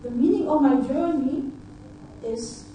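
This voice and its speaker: Woman with a Dutch first language, halting speech. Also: high-pitched, dark tone, a little hiss or hum. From Suriname. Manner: halting, repeats words and fills with uh. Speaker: conference speaker. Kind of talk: personal talk at a conference. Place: large conference hall. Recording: echoey hall, voice picked up from far off.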